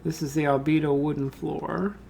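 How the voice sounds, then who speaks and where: Casual man with a slightly creaky voice. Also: a little high, level tone, moderate pace, a little mellow, slight background noise, poor audio. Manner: casual, thinking aloud, addresses viewers as guys. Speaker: tutorial host. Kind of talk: informal screen-share software tutorial, talking through steps while working.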